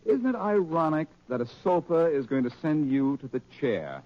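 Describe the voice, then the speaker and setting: An actor playing a confident, teasing amateur detective with a wry delivery in a 1940s radio drama. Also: male voice, on the high side, very animated, normal speed. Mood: taunting, confident. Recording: muffled, noisy 1940s radio recording.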